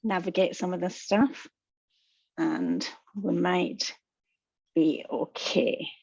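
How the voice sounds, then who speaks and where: A woman's voice: on the low side, sing-song, takes it slowly, creaky, breathy edge, dry recording. A woman with a strong Scottish accent, a counsellor giving a webinar in a reassuring way and talking straight to her listeners. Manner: warm, reassuring, speaks to the audience directly. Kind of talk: webinar presentation. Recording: dull, dry lo-fi webinar audio.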